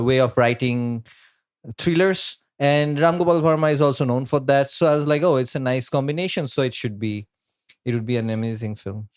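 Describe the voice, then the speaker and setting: A man from India chatting on a podcast, his voice smooth and close with no room around it. He explains things plainly, in short halting steps. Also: medium pitch, dry recording. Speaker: podcast co-host. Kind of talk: casual chat.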